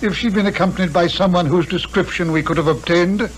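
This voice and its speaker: An actor playing a pompous, pedantic doctor-detective in a 1950s radio drama, with a British accent and formal diction. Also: male voice, high-pitched, a little fast, natural voice, poor audio. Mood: formal, probing. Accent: British English. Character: pompous, exacting male doctor-detective, scholarly and impatient. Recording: 1950s radio recording with faint noise.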